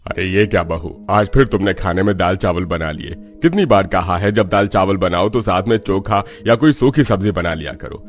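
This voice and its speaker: A man's voice: sits low, moderate pace, hardly any pauses, natural voice. A man acting a grumbling elder father-in-law of a village household in a cartoon story.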